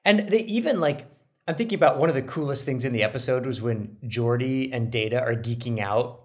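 A man's voice: medium pitch, brisk. Agreeable male co-host, mid voice. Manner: agreeable, frequent backchannels and restarts. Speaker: podcast co-host. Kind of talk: casual analytical chat.

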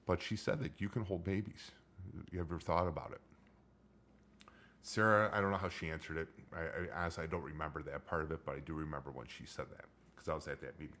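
Very deep-voiced joking man. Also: fairly even intonation, fast talker, fairly bright tone. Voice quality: low voice. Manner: joking, rambling, lots of restarts. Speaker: podcast host. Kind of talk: casual chat.

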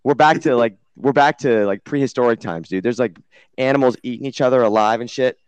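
A man hosts a loose group livestream at a brisk clip. His speech is slangy and full of swearing and "dude". The sound is dry, with no room around him. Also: medium pitch, smooth, dry recording, highs cut off. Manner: slangy, profane, exclaiming "dude". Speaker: show host. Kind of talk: casual livestream group chat.

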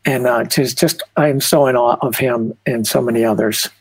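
A man on a political talk panel, speaking earnestly and haltingly with many restarts. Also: medium pitch, steady tone, vocal fry, low-quality recording.

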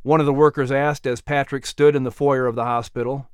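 A man's voice: mid-range voice, level tone, fairly quick, smooth, dry recording, studio quality. A man narrating a historical children's story in a dramatic, scripted audiobook style.

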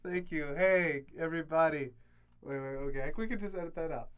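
A man in his twenties co-hosting a casual podcast from a college dorm room, easygoing and quick to laugh, with many fillers. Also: on the high side, very noisy. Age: 20s. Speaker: podcast co-host. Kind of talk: casual chat.

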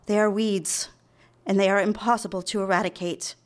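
An actress playing a demure young secretary in a live radio drama, confessing a secret love in polite, old-fashioned diction. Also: female voice, medium pitch, steady tone, moderate pace, close to an everyday voice. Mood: confessional, obsessed. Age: young adult. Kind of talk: live radio drama with first-person narration.

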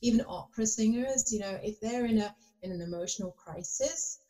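A woman's voice: on the high side, fairly quick, dark tone, rough recording. A woman guest on a podcast, talking in a rambling way with lots of fillers and restarts. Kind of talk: casual conversation.

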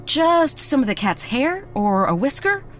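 A woman playing a chatty, excitable young veterinarian with magic, in a naturalistic audio drama. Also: high-pitched, measured pace, faint music behind, exaggerated voice. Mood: upbeat. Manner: chatty, excitable, casual swearing. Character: bubbly, frank young woman vet with magic.

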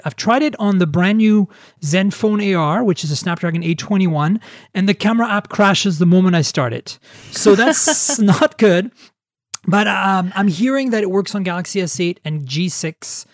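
A man's voice: sits high, expressive, normal speed, no room sound, clean studio sound. A man hosting a casual tech podcast, chatting in an opinionated, emphatic way. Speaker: podcast host.

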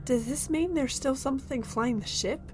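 An actress playing Claire, a curious young woman abducted onto an alien ship, calm and reassuring to others. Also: sits high, moderate pace, natural voice. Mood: calm, steadying. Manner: reassuring, then eagerly curious. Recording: faint music score underneath.

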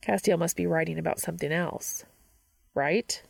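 Low, very creaky woman narrator. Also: normal speed, dry recording. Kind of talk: scripted fan fiction narration.

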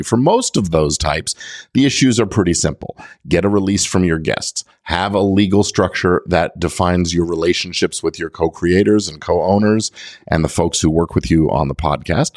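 A man's voice: sits low, no room sound, studio quality. A middle-aged man hosting a podcast, explaining things step by step to listeners with emphasis on key words. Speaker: podcast host; lawyer.

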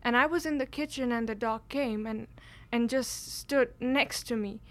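A woman's voice: on the high side, moderate pace, a bit bright. A young woman, earnestly telling a personal story with frequent restarts. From Kashmir, India. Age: late teens. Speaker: exchange student.

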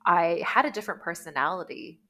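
A woman in her thirties from Canada, a guest chatting casually, animated and full of "like"s. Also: fairly low pitch, fairly quick. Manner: chatty and animated, many "like"s, laughs easily. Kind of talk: casual conversation.

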